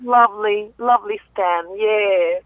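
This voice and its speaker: A woman's voice: medium pitch, a little slow, dry recording. A woman with a Peruvian Spanish accent, a musician guest talking playfully over the phone. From Peru. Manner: lively, playful, laughs easily. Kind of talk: phone interview. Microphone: telephone. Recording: tinny phone line.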